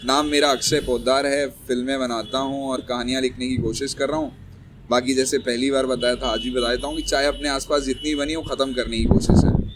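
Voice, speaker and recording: A man's voice: mid-range voice, level tone, brisk. A man, a filmmaker guest, introducing himself in an easygoing, wry way. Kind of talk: casual chat. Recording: noisy background.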